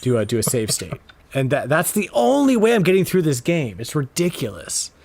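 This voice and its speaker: A man hosting a casual video game podcast, talking with animated, exasperated emphasis. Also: a little high, normal speed, creaky. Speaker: podcast host.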